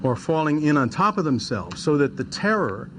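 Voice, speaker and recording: A man's voice: a little high, very animated, moderate pace, noisy background. A man who works in radio, recounting his memories in an interview. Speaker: radio staffer. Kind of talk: recollection in an interview.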